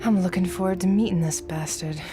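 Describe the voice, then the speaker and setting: A woman's voice: lowish voice, a little fast, natural voice. A woman playing a determined young undercover agent in a video game, dry, earnest and matter-of-fact. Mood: focused, dry. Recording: loud music behind the voice.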